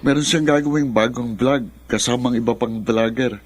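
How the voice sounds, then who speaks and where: A man's voice: mid-range voice, steady tone, moderate pace, lightly acted. An actor playing a flippant, taunting young man, a younger brother in a Filipino radio drama. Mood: offhand.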